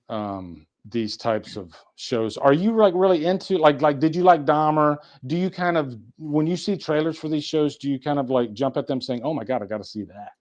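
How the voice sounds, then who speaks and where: A man hosting a podcast in casual, opinionated chat, animatedly acting out what people might say. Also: a little high. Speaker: podcast host.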